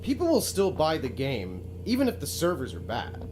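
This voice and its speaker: A man's voice: a little high, huge pitch swings, moderate pace, background noise. A male actor playing a self-pitying, pushy game developer who narrates his own video game in a theatrical, pleading way. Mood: pushy, sardonic. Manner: pleading, self-justifying, dramatic.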